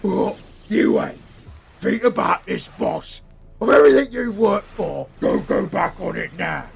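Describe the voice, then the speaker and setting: A man voicing a big, loyal, dim-witted monster henchman with a British accent and a raspy voice, in a comedy audio roleplay. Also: high voice, sing-song, a little slow, faint music behind, heavily acted. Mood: worried. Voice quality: very gravelly, raspy. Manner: blunt, colloquial, deferential to his boss.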